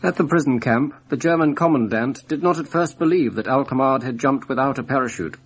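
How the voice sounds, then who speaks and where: A man with a husky voice reading scripted linking narration for a documentary. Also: medium pitch, brisk.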